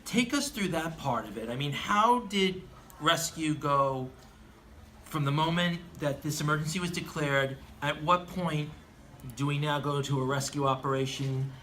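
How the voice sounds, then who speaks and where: A man conducting an earnest live interview, asking wordy, deliberate questions. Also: on the high side, pushed and bright. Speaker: interviewer, aviation enthusiast. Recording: faint background noise, live event.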